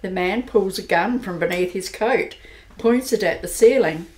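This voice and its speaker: A woman giving steady, plain narration for an amateur radio play. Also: medium pitch, normal speed, rough recording.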